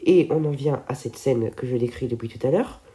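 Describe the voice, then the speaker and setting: A woman's voice: extremely low pitch, fairly quick, dark tone. A teenage girl with a stuffy head cold, chatting casually through a film video and tripping over her words. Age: teens.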